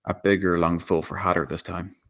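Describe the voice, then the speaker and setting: A man's voice: low-pitched, level tone, brisk, creaky, fairly bright tone. A man narrating stage directions in a dramatic screenplay table read.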